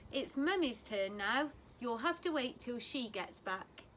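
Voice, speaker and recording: A woman reading a storybook, voicing a calm, matter-of-fact father barn owl. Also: fairly high pitch, unhurried, slight background noise. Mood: dry.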